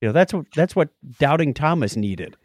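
A man chatting casually as a podcast co-host, his somewhat high voice rising and falling in lively swoops. He often stumbles and restarts his phrases. The sound is close and dry, with very little room. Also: fairly quick, dry recording. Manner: animated, stammering, restarts phrases.

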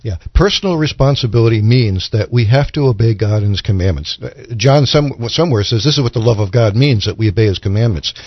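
A man's voice: fairly low pitch, lively intonation, fast, few pauses, no room sound. A man speaking as a guest commentator in a radio Bible discussion, explaining didactically and quoting scripture. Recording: slightly dull, band-limited radio sound.

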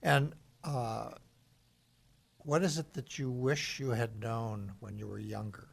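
A middle-aged man hosting a radio talk show, interviewing a guest in a relaxed, conversational way. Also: mid-range voice, takes it slowly, gravelly, husky.

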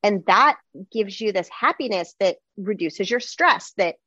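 A woman host in a casual conversation, chatty and animated, with lots of fillers. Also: mid-range voice, unhurried, breathy edge, no echo, dull audio. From United States.

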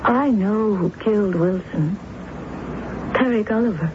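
A woman's voice: mid-range voice, measured pace, natural voice. An actress playing an insistent, theatrical woman accused of murder who turns amateur sleuth, in an emphatic radio drama style. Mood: insistent, probing. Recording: narrow-band noisy old radio broadcast.

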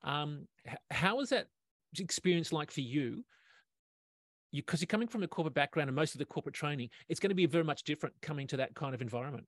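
A man's voice: a little high, lively intonation, fast, no echo, clean studio sound. A man hosting an interview, asking questions and explaining things to listeners with keen, affirming enthusiasm. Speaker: host, interviewer. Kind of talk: podcast interview.

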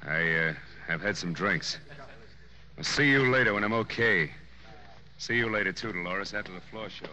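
An actor playing a hot-tempered, hard-drinking bandleader in a 1940s radio drama, snarling and blustering. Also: male voice, on the low side, moderate pace, pushed and bright. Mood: sullen. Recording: old-time radio recording with music.

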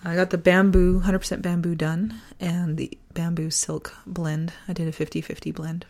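A woman hosting a personal podcast, talking earnestly and deliberately, her voice partly gone from a sore throat. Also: fairly low pitch, level tone, a little mellow. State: losing voice from a sore throat virus. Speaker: podcast host. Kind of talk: personal podcast, reflective essay and casual chat.

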